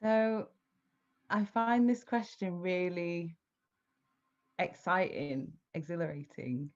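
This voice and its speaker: A woman with a very low voice who reads poetry and then talks things through with pauses and fillers. She sounds thoughtful and a little tentative. Her audio is dull and lo-fi, as on a video call. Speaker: poet and guest. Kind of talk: spoken-word poetry and reflective conversation.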